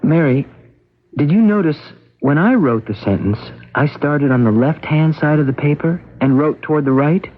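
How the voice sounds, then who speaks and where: Patient worried brother, animated intonation, careful, gentle, 1970s radio play, lo-fi sound. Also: male voice, fairly high pitch, moderate pace. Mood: uneasy, probing. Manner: gentle, careful explaining, as to a child. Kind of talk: 1970s radio drama acting. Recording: dull lo-fi radio broadcast recording.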